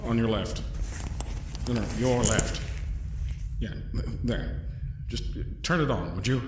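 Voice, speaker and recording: A man playing a trapped young adventurer in an audio drama, dry and sardonic, with halting, defiant delivery. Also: medium pitch, reverberant, noisy background, natural voice. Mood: sarcastic, defiant.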